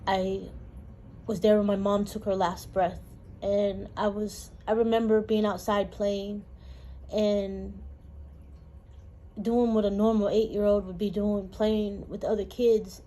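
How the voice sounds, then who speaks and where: A woman from Hawaii, telling her life story in a halting, emotional way with pauses. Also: mid-range voice, level tone, dark tone, rough recording. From Honolulu, Hawaii. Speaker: interviewee. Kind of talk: personal testimony.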